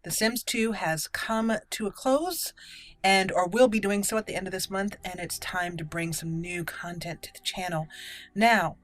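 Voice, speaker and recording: A woman's voice: mid-range voice, moderate pace, no room sound. A woman giving casual let's play commentary as a channel host, chatty and stretching key words for emphasis.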